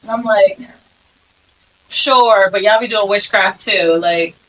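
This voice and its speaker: A young woman from the United States hosting a podcast, talking in a lively, emphatic, casual way. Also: medium pitch, slow, slight background noise, low-quality recording. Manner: lively, emphatic, swears freely. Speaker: podcast host.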